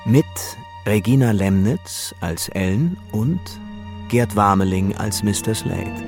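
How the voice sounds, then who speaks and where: An actor as the narrator of a radio drama, reading a measured scripted narration with music under him. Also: male voice, lowish voice, expressive, darkish tone. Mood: formal, announcing.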